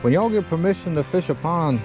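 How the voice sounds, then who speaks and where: A man's voice: on the high side, brisk, soft background music. A man, chatting casually through a fishing vlog outdoors on a chest-mounted action camera. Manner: relaxed drawl, chatty, says y'all. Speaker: fishing vlogger. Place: outdoors by a pond and river bank.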